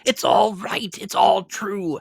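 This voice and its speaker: A man voicing an elderly reformed miser in a dramatic storybook reading, giddy and gleeful, with excitable, exclamatory delivery. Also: high voice, takes it slowly, vocal fry, slightly rough, no echo, studio quality, voice pushed up, heavily acted. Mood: giddy, exultant. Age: older. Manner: excitable, exclamatory, whooping outbursts.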